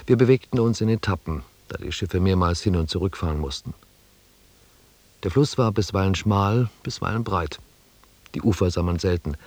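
An actor playing a reflective expedition scribe who narrates a radio drama in a measured, restrained and quietly melancholy way. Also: male voice, low voice, no echo. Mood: sober narrating. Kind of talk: scripted first-person narration in a German radio drama.

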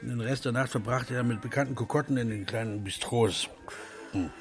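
A man's voice: mid-range voice, a little fast, lightly acted. A man giving scripted narration of a literary story in a radio play. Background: music.

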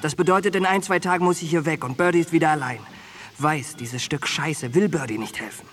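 Bitter, intense young soldier male actor, slightly high voice, blunt and brooding, radio drama with soft music underneath. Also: brisk, lightly acted. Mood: bitter, agitated. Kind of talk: German radio drama acting.

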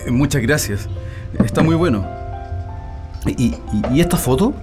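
An actor playing a polite, sympathetic reporter who speaks with courteous hesitation in a radio drama. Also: male voice, medium pitch, lively intonation, moderate pace, lightly acted. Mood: polite, hesitant. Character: polite, sympathetic male reporter interviewing a family. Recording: music bed under the voice.

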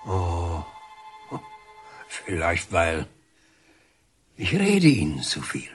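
An actor playing a defiant, scornful, earthy painter in a radio drama, halting and muttering with sudden exclamations. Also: male voice, low-pitched, wildly swooping pitch, slightly rough, faint music behind, exaggerated voice. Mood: musing.

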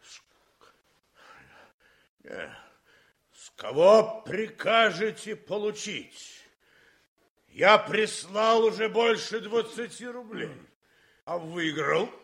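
An actor playing an indignant, stubborn officer demanding his winnings, blunt and deliberate, in a radio drama. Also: high-pitched, animated, a bit bright, heavily acted. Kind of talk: Soviet radio drama acting.

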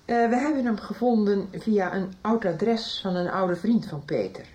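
A woman's voice: medium pitch, animated, moderate pace, warm tone, close to an everyday voice. A middle-aged actress playing an anxious mother who apologizes and pleads about her runaway son, in a 1990s radio drama. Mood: worried.

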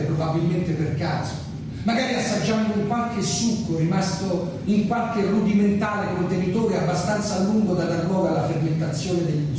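A man performing a satirical comic monologue in a theatrical, mock-lecturing manner with dramatic emphasis. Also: on the high side. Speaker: solo stage performer. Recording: echoey hall, distant mic, noisy.

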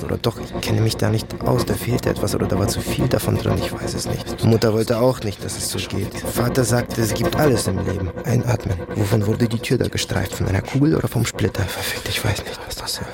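Low, gravelly soldier, remembering. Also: male voice, fairly even intonation, fairly quick, few pauses. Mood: bitter, muttering. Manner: terse fragments, repeating phrases like an incantation. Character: dark-blond soldier, bitter and wistful, remembering his family. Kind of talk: intimate radio drama monologue.

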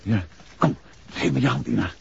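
Genial gentleman detective, lively, muffled vintage radio. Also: medium pitch, normal speed, gravelly. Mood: alert, concerned. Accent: Netherlands Dutch. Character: calm, genial gentleman detective, affectionate husband. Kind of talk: 1960s Dutch radio drama acting. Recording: muffled, noisy 1960s radio recording.

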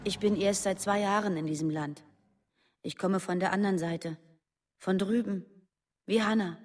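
An actress playing a guarded young former militant, speaking earnestly and confessionally in a radio drama. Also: female voice, mid-range voice, fairly even intonation, normal speed, darkish tone, slight background noise, lightly acted. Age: young adult. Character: guarded young woman, former West German terrorist.

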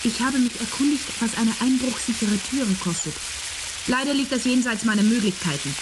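An actress as a blithe student, frying sizzle behind. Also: female voice, fairly high pitch, moderate pace, background noise, natural voice. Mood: nonchalant. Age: young adult. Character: cool, flippant young female student. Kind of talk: radio play dialogue. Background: food frying.

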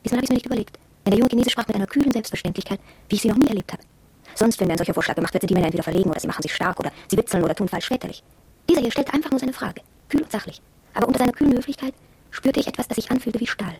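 An actress playing a proud, headstrong young shop apprentice, confiding matter-of-factly in a radio drama monologue, with a husky voice. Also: female voice, on the high side, expressive, very fast, a little mellow, dry recording. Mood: intrigued, recounting.

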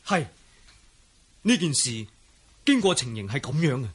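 A man's voice: a little high, sing-song, a little slow, loud music behind the voice. A male actor playing an earnest, accusing young outlaw fighter in a Cantonese wuxia radio drama, insistent yet deferential. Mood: sympathetic.

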